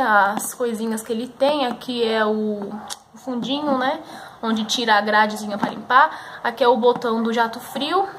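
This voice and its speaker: High-pitched young São Paulo Brazilian woman. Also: fairly bright tone, a little hiss or hum. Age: 20s. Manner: chatty, enthusiastic, addresses viewers as friends. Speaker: beauty vlogger. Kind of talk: casual chatty product review to camera. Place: living room at home, indoors.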